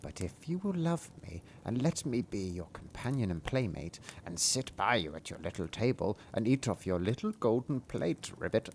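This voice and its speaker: A man voicing a sly, bargaining frog in a read-aloud fairy tale, speaking slowly and low with croaks and ribbits. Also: slight background noise.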